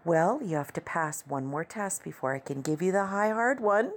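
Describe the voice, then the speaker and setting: A man's voice: high voice, animated, moderate pace, a little hiss or hum, pitched above the performer's own voice. A man voicing a teasing, dominant adult woman in an audio story, coaxing and confident. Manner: coaxing pet names, teasing commands.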